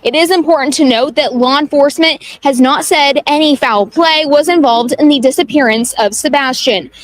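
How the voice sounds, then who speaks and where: A woman's voice: sits high, moderate pace, no room sound. A woman television reporter reading a scripted news narration.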